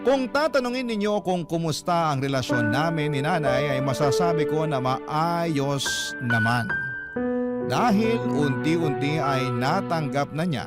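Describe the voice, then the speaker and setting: A Filipino man narrates as a radio storyteller, reading a letter in a dramatic style. His voice sits a little high for a man, with loud instrumental music playing behind it.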